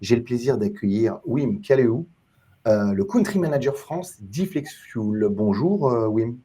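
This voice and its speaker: A man's voice: medium pitch, big pitch swings, a little slow, warm tone, slight background noise. A man hosting a podcast at a trade show, welcoming a guest and posing questions with a friendly promotional patter and some fillers. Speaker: podcast host.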